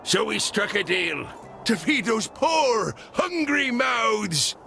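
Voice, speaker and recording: Gruff greedy old crab, salty pirate accent, gravelly and high, loud sharp delivery. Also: male voice, sing-song, takes it slowly, soft background music, heavily acted. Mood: indignant. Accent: pirate-like stage sailor.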